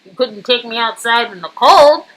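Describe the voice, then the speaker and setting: Bright, higher-pitched woman reading dialogue. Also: moderate pace, poor audio. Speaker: co-host reading game dialogue. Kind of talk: casual performed dialogue reading.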